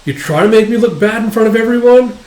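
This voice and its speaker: A man's voice: high voice, quick pace, dark tone, voice pushed up, close to an everyday voice. A man voicing a boastful, threatening young gangster from a comic, in an echoey room. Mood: boastful menace. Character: boastful threatening young yakuza thug, son of boss.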